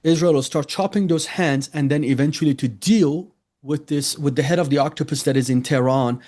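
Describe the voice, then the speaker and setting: A man's voice: a little high, steady tone, fairly quick, darkish tone. A man from Iran with an Iranian accent, a native Farsi speaker, answering interview questions earnestly with many fillers and restarts. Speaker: interviewee, ministry leader.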